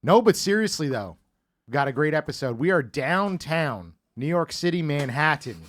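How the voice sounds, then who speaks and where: A man's voice: on the high side, lively intonation, normal speed, a bit bright. A man co-hosting a podcast, chatting casually with joking, teasing banter. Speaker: podcast co-host.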